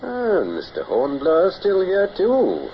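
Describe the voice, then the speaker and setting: An actor playing a tyrannical, suspicious British naval captain, imperious and sarcastic, in a mid-century radio drama. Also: male voice, high-pitched, wildly swooping pitch, takes it slowly, heavily acted. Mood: sneering. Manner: sardonic, imperious, swinging from murmurs to bellowed orders. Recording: dull, hissy old radio recording.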